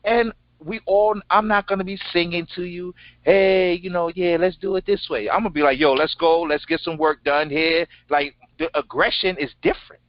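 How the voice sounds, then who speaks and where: Co-host, middle-aged man, deep blunt voice, dry room, dull lo-fi audio. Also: moderate pace, no echo. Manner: blunt, animated, swears freely. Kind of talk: casual interview chat. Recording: dull lo-fi sound.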